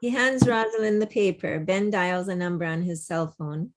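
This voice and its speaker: A woman's voice: lowish voice, normal speed. A woman narrating the stage directions of a play at a table read held over a video call.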